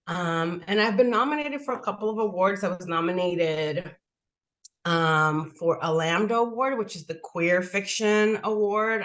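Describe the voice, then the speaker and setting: A woman in her forties from the Republic, answering interview questions in a chatty way full of "like" fillers. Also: lowish voice. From Dominican Republic. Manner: chatty, heavy use of "like" fillers. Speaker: guest author.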